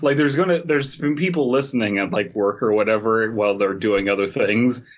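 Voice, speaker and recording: A man's voice: on the low side, fairly quick, highs cut off, slight background noise. A man co-hosting a podcast with casual, wry and crude joking banter. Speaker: podcast co-host.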